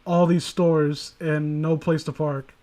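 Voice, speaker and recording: Male story-stream host, high voice, wry and crude, loose conversational banter. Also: level tone, slow pace, warm tone. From New York City. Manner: sarcastic, joking, foul-mouthed. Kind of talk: casual banter mixed with reading aloud.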